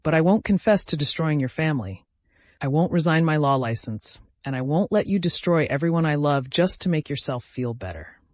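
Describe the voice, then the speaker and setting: A man's voice: a little high, animated, brisk, no echo, close to an everyday voice. A man voicing an earnest woman lawyer in an audiobook, remorseful but resolute, reasoning and pleading. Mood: firm defiance. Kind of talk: dramatic audiobook character reading.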